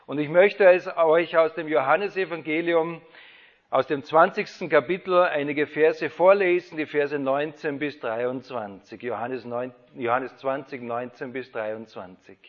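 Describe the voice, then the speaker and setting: A man's voice: a little high, fairly even intonation, a little slow. A man preaching an Easter sermon, reading scripture and building to fervent rhetorical questions. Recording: dull lo-fi sound.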